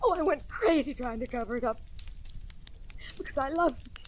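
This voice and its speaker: An actress playing a desperate, pleading killer in love, breathless and emotional in 1940s radio melodrama style. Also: female voice, high voice, animated, moderate pace. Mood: anguished confessing. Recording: noisy old radio recording.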